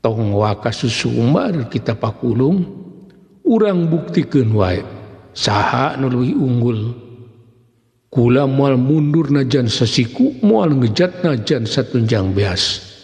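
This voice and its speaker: A man's voice: medium pitch, expressive, slow pace, a little mellow. A man voicing a brave young martial hero with a resolute, declamatory manner in a folk-tale audio story. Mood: defiant. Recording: long hall-like reverb.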